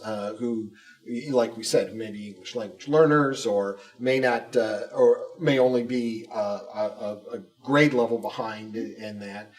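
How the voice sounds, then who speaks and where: A man with a mid-pitched voice co-hosts an informal panel. He talks haltingly, restating points for emphasis, and is recorded a little way from the microphone. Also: recorded from a distance. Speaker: communications director co-hosting a district show.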